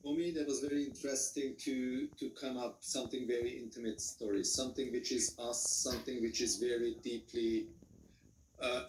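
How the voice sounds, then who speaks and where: A man with a Hungarian accent who speaks English as a second language, answering as a film director in a panel Q&A, halting and repeating words. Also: medium pitch, far from the mic. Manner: halting, repeats words, non-native phrasing. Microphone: video call. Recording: roomy video call audio from off-mic.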